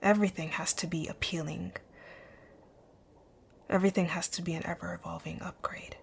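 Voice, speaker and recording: A woman acts out a rich, bossy female company owner for an ASMR roleplay. Her voice is low and gravelly with fry, often dropping to a breathy near-whisper. She is composed, curt and quietly flirtatious, over a faint noise floor. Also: steady tone. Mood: businesslike, commanding. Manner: composed, commanding, deliberate. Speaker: audio roleplay voice actor. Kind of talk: ASMR roleplay, scripted one-sided dialogue.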